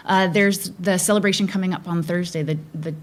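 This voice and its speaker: Woman, middle-aged, school board candidate, earnest, halting restarts, low-pitched. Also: fairly even intonation. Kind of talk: answering forum questions.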